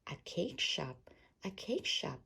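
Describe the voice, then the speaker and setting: A woman reading a rhyming children's storybook aloud as an expressive storytime narrator. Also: on the low side, takes it slowly, creaky, breathy edge. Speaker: storytime reader.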